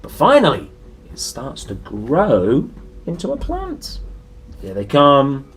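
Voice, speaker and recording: A young man reading a picture book to children expressively, with dramatic pauses, soft exclamations and little asides. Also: a little high, wildly swooping pitch, slight background noise. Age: young adult. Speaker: storybook reader and presenter. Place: small indoor room.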